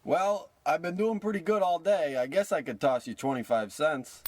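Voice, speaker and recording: A man's voice: fairly high pitch, moderate pace, a little mellow, no echo, lightly acted. A man playing a brash, scheming small-time American huckster with slangy old-timey patter in a parody radio play. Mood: dismissive.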